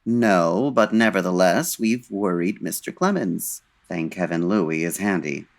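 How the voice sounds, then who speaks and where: Man acting as suave 1940s gentleman detective, very low voice, dry wit, formal, unflappable, radio mystery comedy. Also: unhurried, vocal fry. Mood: wry, composed. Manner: dry, urbane, formal wording.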